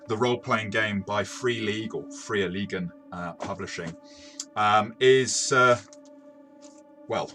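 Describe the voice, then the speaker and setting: A man with a fairly low, bright-edged voice chats through a role-playing game review as co-host. His delivery is casual and halting, with frequent false starts. Music plays quietly behind him.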